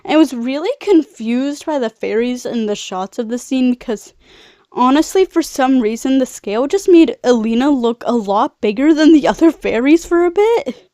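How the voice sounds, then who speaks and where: Young woman, congested nasal tone, higher pitch, soft mellow timbre, casual rambling vlog commentary, close dry sound. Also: animated, normal speed, no room sound. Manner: rambling, girly slang, tangents. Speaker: video host, film recapper. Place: bedroom.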